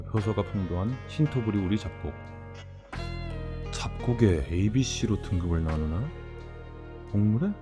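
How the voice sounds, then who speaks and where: A man narrating a horror story in a dramatized first-person style, speaking deliberately and addressing the listener directly. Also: on the low side.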